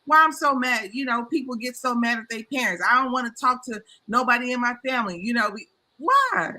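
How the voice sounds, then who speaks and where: A woman's voice: a little high, expressive, fairly quick, bright, forceful tone. A woman talking passionately in a casual discussion, getting heated as she makes her points. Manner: passionate, impassioned.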